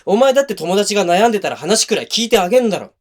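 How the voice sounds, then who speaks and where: A man's voice: sits high, very animated, racing. A male actor playing a defensive, hot-tempered young boyfriend in a voice drama, speaking bluntly and casually to the listener up close. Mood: angry, indignant. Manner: blunt, casual masculine speech. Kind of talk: situation voice drama addressed to the listener. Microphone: close binaural microphone.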